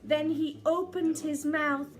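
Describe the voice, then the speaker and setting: A woman reading a children's picture book aloud in an expressive, theatrical way with drawn-out emphasis. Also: sits high, heavy background noise. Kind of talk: expressive read-aloud storytelling.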